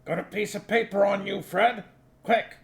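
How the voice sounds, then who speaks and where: Nobby, a gravelly, high-pitched blusterer. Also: male voice, fairly even intonation, moderate pace. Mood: blustering. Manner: blustering, pushy, bossing people about. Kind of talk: audiobook character voice.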